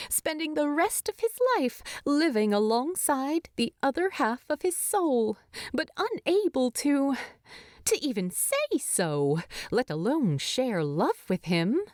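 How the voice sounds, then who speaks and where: A woman reading a story as an audiobook narrator, expressive and dramatic, close to the microphone. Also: high-pitched, dry recording. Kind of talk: expressive scripted fiction narration.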